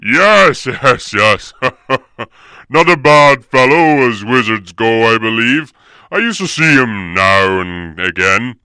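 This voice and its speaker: A huge, wary bear of a man growls bluntly in a fantasy storybook. The voice is gruff and booming, with a slow, heavy pace and a forceful edge, recorded close and dry. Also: animated, dry recording. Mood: gruff, grudging. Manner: blunt, forceful, laughing to himself.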